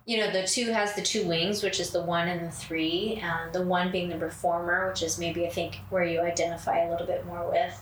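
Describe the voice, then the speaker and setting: A woman hosting a podcast in a casual chat, with a soothing, even cadence and many fillers. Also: lowish voice, fairly quick, few pauses. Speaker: podcast host. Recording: roomy, distant mic, faint noise.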